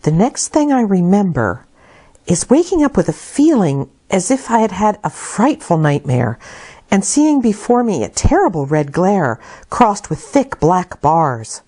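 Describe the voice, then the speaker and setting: A woman reading literary narration aloud as an audiobook reader, with deliberate, dramatic phrasing. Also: mid-range voice, very animated, warm tone, husky. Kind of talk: expressive scripted literary narration.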